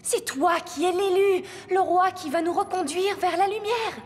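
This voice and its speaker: A woman voicing a solemn, loyal ally in an animated fantasy, grave and stately in manner. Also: very high voice, moderate pace. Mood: stern, urgent. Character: solemn, loyal female ally urging a chosen heir. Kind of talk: dubbed animated fantasy acting. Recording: long hall-like reverb.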